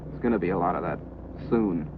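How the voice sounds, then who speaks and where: Man acting griping aircrewman over loud engine noise. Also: low-pitched, quick pace, natural voice. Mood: irritated. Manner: blunt, griping. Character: grumbling, impatient aerial search crewman. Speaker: actor. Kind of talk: mid-century American film drama acting. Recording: tinny old soundtrack, loud engine noise.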